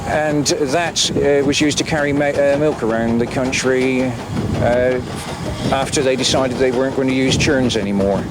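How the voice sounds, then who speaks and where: A man guiding visitors past old railway wagons outdoors, explaining haltingly with many pauses. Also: mid-range voice, steady tone. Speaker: guide at a railway preservation site. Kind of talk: guided tour explanation. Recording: loud outdoor noise on old film. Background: engine and wind noise.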